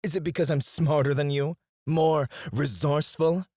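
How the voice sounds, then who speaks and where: A man's voice: fairly high pitch, fairly even intonation, moderate pace, darkish tone, no echo, studio quality, lightly acted. A man playing a cocky, disdainful royal alchemist in a scripted fantasy audio roleplay, speaking in a formal, haughty, theatrical way. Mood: taunting. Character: cocky, disdainful male royal alchemist, sharp-witted and proud. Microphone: close, dry studio mic.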